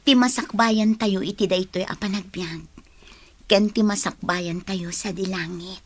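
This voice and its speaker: A devout Ilocano wife, played by a radio actress, speaks in a medium-pitched voice. She sounds sincere and homely, in the manner of serial radio drama. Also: steady tone, measured pace, lightly acted. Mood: grateful, moved. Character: devout, grateful Ilocano wife of a reformed husband.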